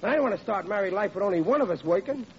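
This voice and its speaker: High-voiced, excitable American bumbling bridegroom. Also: male voice, very fast. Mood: sheepish. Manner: folksy, ungrammatical, flustered stammering. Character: hapless, bumbling working-class bridegroom, well-meaning and excitable. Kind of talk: old-time radio situation comedy acting. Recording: muffled, noisy vintage radio recording, audience behind.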